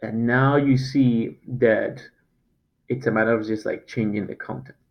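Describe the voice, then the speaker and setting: Man, mid-pitched, hesitant and casual tutorial delivery, slightly muffled recording. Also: fairly even intonation, vocal fry. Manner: casual, halting, many fillers and restarts. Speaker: web design company owner. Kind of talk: screen-recorded software tutorial. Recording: slightly dull, lo-fi sound.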